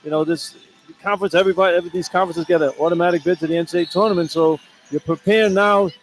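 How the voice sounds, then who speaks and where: A man's voice: sits high, fairly even intonation, rapid-fire. An older American man giving conversational sports analysis as a radio color commentator. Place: indoor sports arena. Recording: noisy live arena broadcast. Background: arena ambience and noise.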